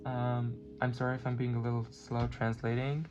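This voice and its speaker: A card reader who is not a native English speaker, giving a spiritual pick-a-card reading and often pausing to search for words. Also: medium pitch, completely flat delivery, highs cut off. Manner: halting, many fillers, searching for words. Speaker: tarot and oracle card reader. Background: clear background music.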